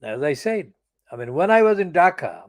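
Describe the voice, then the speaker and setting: An older man delivering an angry, profane political monologue as a channel editor, stressing words slowly and deliberately. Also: fairly high pitch, big pitch swings. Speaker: channel editor giving commentary.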